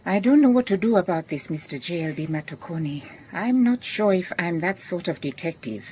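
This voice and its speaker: Actress as calm woman detective, Southern African accent, slightly low pitch, measured, gently wry, radio drama, faint insect noise. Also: natural voice. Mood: doubtful. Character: calm, shrewd, kindly woman detective in Botswana.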